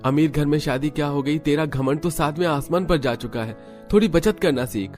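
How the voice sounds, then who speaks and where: Irritated scolding man, raised pitch acted by narrator. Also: brisk, a little mellow, soft background music, natural voice. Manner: curt, scolding. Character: irritated, scolding male relative of a boastful young woman. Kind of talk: Hindi cartoon story dialogue.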